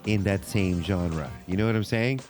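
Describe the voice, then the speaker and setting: A man co-hosting a podcast, chatting casually and informally as he thinks out loud. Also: low voice, moderate pace, faint music behind. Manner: loose, informal, thinking aloud. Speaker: podcast co-host. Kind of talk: casual pop culture chat.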